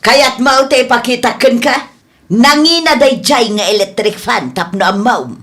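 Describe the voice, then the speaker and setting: Radio drama: woman plays tight-fisted female employer, high voice, bossy, dismissive delivery. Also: a little slow, fairly bright tone. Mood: defiant. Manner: curt, bossy. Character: stingy, scolding female shop boss who lies. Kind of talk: Ilocano radio comedy drama acting.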